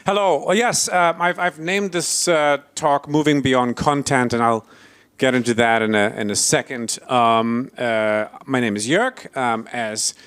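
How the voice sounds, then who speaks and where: A man's voice: mid-range voice, steady tone, unhurried, a bit bright, smooth. A man in his forties from Belgium giving a conference keynote, hesitant with many fillers and restarts, cracking self-deprecating jokes. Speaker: keynote speaker. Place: conference stage before an audience.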